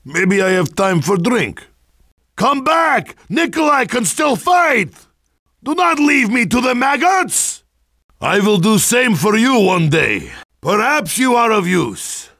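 A boozy, sardonic Russian soldier for a video game. His voice sits high and swings widely, with a forceful edge. He blusters and mocks in thick Russian-accented broken English, dropping his articles. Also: very animated, unhurried, gravelly, dry recording, studio quality, exaggerated voice. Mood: needy, gruff. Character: hard-drinking boisterous Russian soldier, gruff and sardonic. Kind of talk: video game character barks.

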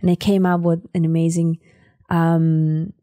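Sakha-accented woman, dark low voice. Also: flat delivery, measured pace, no room sound, clean studio sound. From Sakha Republic, Siberia. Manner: searching for words with many fillers. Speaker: guest. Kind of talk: conversational interview.

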